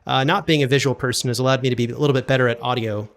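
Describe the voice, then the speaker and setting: A man's voice: medium pitch, fairly even intonation, rapid-fire. A man speaking as a podcast guest in a casual interview, often doubling back and repeating his words hesitantly. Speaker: podcast guest, audio drama producer and sound designer.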